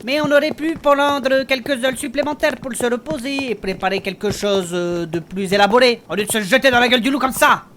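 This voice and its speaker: A woman's voice: on the high side, fairly quick, hardly any pauses, a bit bright, a little hiss or hum, natural voice. A woman playing a grumbling, worried innkeeper turned reluctant adventurer in a comic fantasy audio drama, blunt and sarcastic. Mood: worried, annoyed.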